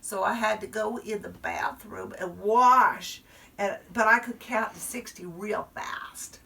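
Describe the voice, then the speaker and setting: A woman's voice: mid-range voice, slow pace, gravelly, pushed and bright, far from the mic, heavily acted. A woman storyteller voicing a chatty little first-grade girl who confides in her listeners. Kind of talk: performed storytelling.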